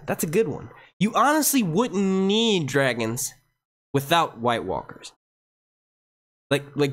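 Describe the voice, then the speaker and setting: A man hosting a casual live Q&A stream, talking excitably and swearing freely into a gated streaming mic. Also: fairly high pitch. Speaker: livestream host. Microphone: streaming mic with noise gate.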